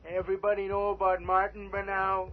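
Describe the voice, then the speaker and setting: An actor playing an uneasy, laconic rural conspirator with colloquial speech in a 1980s radio horror drama. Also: male voice, high-pitched, completely flat delivery, fairly quick, natural voice. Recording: dull radio recording with music.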